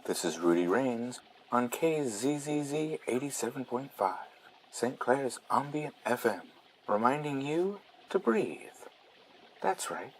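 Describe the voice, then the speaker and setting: A man acting as a very unhurried late-night ambient radio DJ. He speaks at a middle pitch with a hushed, serene, almost hypnotic delivery. A steady bed of ambience sits under the voice. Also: slight background noise, low-quality recording. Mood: soothing, dreamy. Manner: hushed, soothing, drawn-out, mock-serene delivery. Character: soothing, dreamy overnight ambient radio DJ.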